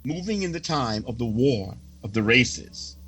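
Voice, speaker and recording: Slow, solemn male preacher with swooping pitch. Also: expressive, a bit bright, faint music behind. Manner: emphatic, deliberate oratory with formal archaic phrasing. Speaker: religious reader delivering a prophecy report. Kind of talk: solemn sermon-like reading of a prophecy.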